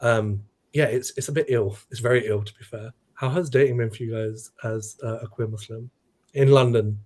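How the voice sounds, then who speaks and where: A man's voice: mid-range voice, fairly even intonation, moderate pace, darkish tone. A man of Pakistani heritage hosts a casual chat in a friendly, joking way. He is a little scattered as he searches for his questions.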